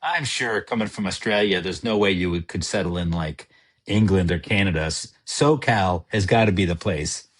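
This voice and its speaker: Podcast host guy, lowish voice, upbeat and jokey. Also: normal speed. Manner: upbeat, joking questions. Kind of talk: casual podcast interview.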